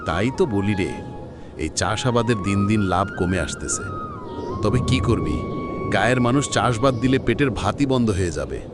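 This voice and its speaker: A man's voice: on the low side, lively intonation, moderate pace, lightly acted. A man playing a kindly old village uncle in a cartoon, rustic, sighing and reassuring, with music behind him. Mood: resigned, consoling.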